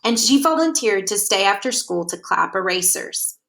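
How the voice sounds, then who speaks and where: A woman reads a children's picture book aloud as a storyteller, with expressive, deliberate narration that leans on key words. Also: medium pitch, vocal fry.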